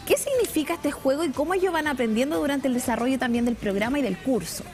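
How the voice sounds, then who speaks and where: High-voiced Chilean woman hosting live TV. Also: animated, quick pace, over music. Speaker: TV host. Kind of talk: live talk show presenting. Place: TV studio.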